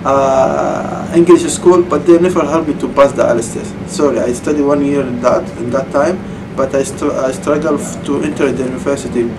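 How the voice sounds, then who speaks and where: A man in his thirties with a Saudi Arabian accent, a former student answering interview questions in halting English with frequent restarts, recorded in a computer lab. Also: mid-range voice, fairly even intonation. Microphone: far camera mic. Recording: distant camera mic, air conditioning hum.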